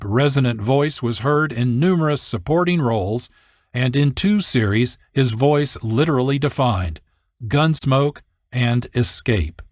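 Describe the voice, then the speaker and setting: A man's voice: medium pitch, no room sound. Dry-sounding male radio host. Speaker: host of a radio drama program. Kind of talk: scripted narration.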